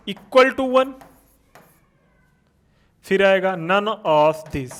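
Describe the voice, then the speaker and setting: A man's voice: sits high, fairly bright tone, smooth. A male teacher giving a physics lesson for exam students, asking questions and answering them himself.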